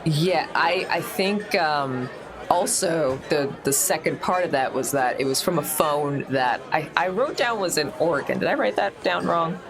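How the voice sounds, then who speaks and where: Male player, gravelly, thinks aloud with frequent laughs; crowd behind. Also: a little high, a little slow. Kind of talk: casual tabletop play.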